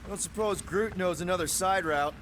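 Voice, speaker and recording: Man playing a high-voiced wisecracking space outlaw leader. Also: fairly quick, background noise, natural voice. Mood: wry. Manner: casual, quippy, calls others buddy. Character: wisecracking, warm-hearted young male space outlaw leader. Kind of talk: video game voice acting. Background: loud game music and effects.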